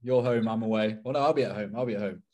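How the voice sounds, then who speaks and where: A man's voice: fairly low pitch, rapid-fire, darkish tone. A young adult man co-hosting a casual football podcast, chatty and laid-back with fillers. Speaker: podcast co-host. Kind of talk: casual chat.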